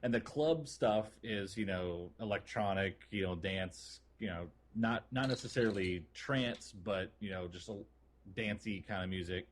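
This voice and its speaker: Guy with a lowish voice on a gaming podcast, casual and into it, kinda rambly. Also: a little slow, slight background noise. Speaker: podcast co-host.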